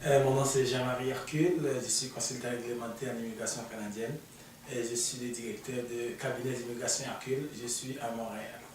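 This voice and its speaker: A man's voice: mid-range voice, fairly even intonation, fairly quick. A man, an immigration consultant on a studio panel, talking through his own path with many fillers. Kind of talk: explaining on a panel. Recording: echoey room, mic far off.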